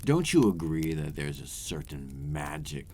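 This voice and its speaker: A man voicing a centuries-old vampire in an ASMR roleplay, a courtly, teasing predator with condescending, formal, old-fashioned phrasing and a deep voice. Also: big pitch swings, unhurried, mellow, exaggerated voice. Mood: playful, savoring. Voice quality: deep, breathy at moments.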